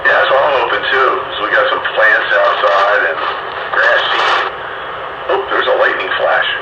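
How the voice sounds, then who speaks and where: A man's voice: lowish voice, moderate pace, reverberant, very noisy. A man chatting casually over radio, sounding muffled and distant.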